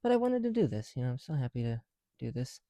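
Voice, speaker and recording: A soft-spoken man with a mellow, relaxed tone and very lively pitch movement, chatting in a casual ASMR style. He sounds tired and hushed, murmuring to himself close to the mic in a dry recording. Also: fast, no echo. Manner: hushed, musing to self while counting pieces. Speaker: ASMR video creator.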